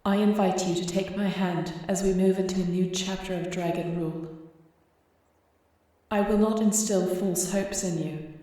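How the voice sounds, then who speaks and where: A woman's voice: fairly low pitch, warm tone, heavy reverb, lightly acted, rough recording. A woman playing a newly crowned young dragon shifter queen in an audio roleplay, speaking formally and earnestly. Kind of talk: scripted audio roleplay acting.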